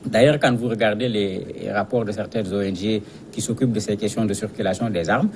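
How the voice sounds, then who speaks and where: A man's voice: lowish voice, steady tone, fairly quick. Man explaining as analyst, faint background noise. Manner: didactic, repeats words as they search for phrasing. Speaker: guest analyst. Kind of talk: explanatory commentary in a TV news interview.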